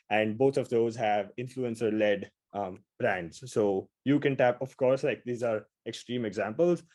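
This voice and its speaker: A man's voice: on the low side, darkish tone. A man with an Indian accent from India, giving an upbeat, casual webinar presentation over a video call. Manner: upbeat, quick and casual, with frequent fillers.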